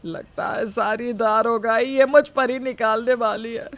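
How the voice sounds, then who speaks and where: A woman performs a theatrical old mother-in-law from a cartoon moral tale. Her voice is very high and dryly recorded. She scolds and laments in drawn-out, exasperated phrases. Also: fairly even intonation, no echo. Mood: wailing. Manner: wailing, exasperated, drawn-out complaints. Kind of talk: cartoon moral story acting.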